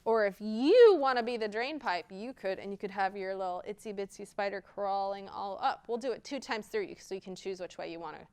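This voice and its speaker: A woman hosts a storytime for young children. Her voice is smooth and clear, sitting at a medium pitch. She reads expressively and talks straight to her little listeners. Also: brisk, few pauses. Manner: expressive, addresses children directly. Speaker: library storytime host and children's reader. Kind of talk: picture-book read-aloud with interactive rhymes for young children.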